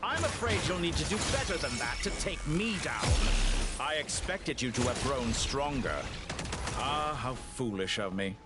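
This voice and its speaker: An actor playing a smug, cruel mad-scientist villain in a video game, pompous, taunting and condescending. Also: male voice, mid-range voice, very animated, a little slow. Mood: menacing, grandiose. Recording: game music behind the voice.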